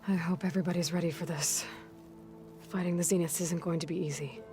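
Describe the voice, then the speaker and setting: A woman acting a young warrior heroine in a video game. Her voice sits fairly low, measured and sincere, with guarded care and gentle wryness, and music plays clearly behind her. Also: steady tone, close to an everyday voice. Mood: sober, resolved. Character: young warrior heroine, earnest, dry-witted, guarded but caring.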